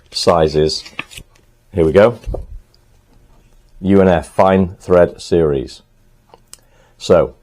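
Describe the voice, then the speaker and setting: A man talking casually to the camera in his home workshop, thinking aloud as he works, a little hoarse. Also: deep voice, warm tone, slight background noise. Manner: chatty, thinks aloud while working, frequent ums. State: slightly hoarse, clearing throat. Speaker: motorcycle restorer and channel host.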